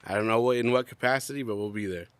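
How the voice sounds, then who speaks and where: A man's voice: medium pitch, very fast, smooth, no room sound. A young adult man co-hosting a podcast outdoors, chatting casually with many fillers, still coughing and congested. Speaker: podcast co-host. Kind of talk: casual chat.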